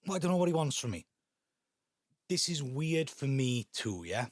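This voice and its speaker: An actor playing a resentful middle-aged Welsh son in an audio drama, with a South Wales accent and a sarcastic, grumbling manner. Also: male voice, medium pitch, big pitch swings, moderate pace, dry recording, clean studio sound. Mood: cold, resentful. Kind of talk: naturalistic audio drama acting.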